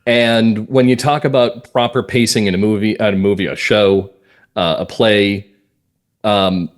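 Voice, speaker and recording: Male interview guest, American, lowish voice, measured phrases with firm emphasis, conversational delivery. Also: fairly even intonation. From northern Massachusetts, US. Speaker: guest playwright and comedy writer.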